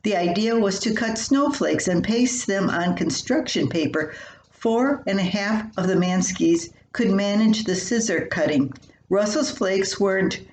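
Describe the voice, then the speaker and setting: An older woman reading her own humorous personal column aloud in a folksy, expressive storytelling way. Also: medium pitch. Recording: roomy echo with faint hiss.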